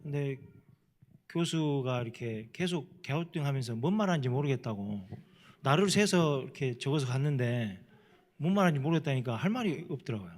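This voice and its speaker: A middle-aged Korean missionary with a Jeolla dialect, telling stories plainly in a church panel talk with self-deprecating humor. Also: male voice, mid-range voice, expressive, a little fast. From South Korea. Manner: plain-spoken, self-deprecating, chuckles often. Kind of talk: panel talk, casual storytelling.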